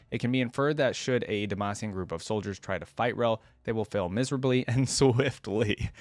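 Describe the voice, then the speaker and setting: A man's voice: mid-range voice, dry recording. A man hosting a reaction video, giving casual, informal and chatty commentary. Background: faint music.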